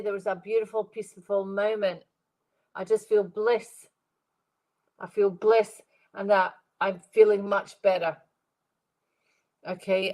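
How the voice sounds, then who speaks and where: Congested woman giving a gentle psychic reading. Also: mid-range voice, low-quality recording. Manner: gentle, rambling, repeats phrases, addresses viewers by name. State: congested, stuffed-up nose. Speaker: medium giving live readings. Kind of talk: live-stream spirit reading.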